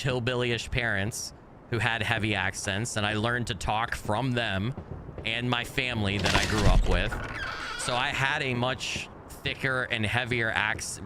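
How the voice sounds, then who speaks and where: An American man streams a game and chats with viewers, with a faint regional accent. He rambles and often restarts his sentences. His voice sits at an ordinary middle pitch, and faint outdoor ambience runs underneath. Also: background noise. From United States. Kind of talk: casual gameplay chat with viewers.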